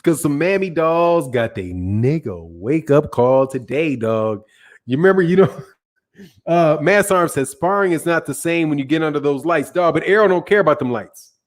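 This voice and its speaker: Dude hosting a boxing stream, slangy and hyped, voice sits a bit high. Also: male voice, moderate pace. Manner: loose slangy banter, excitable, trails off and restarts. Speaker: boxing channel host. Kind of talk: casual livestream chat reading viewer comments.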